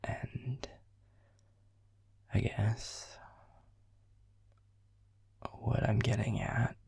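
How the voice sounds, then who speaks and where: A man playing a gravelly, world-weary grumpy boss. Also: on the low side, no echo, full character voice. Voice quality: hushed, breathy. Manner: sardonic, teasing, swears casually. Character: world-weary grumpy male middle manager. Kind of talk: audio roleplay.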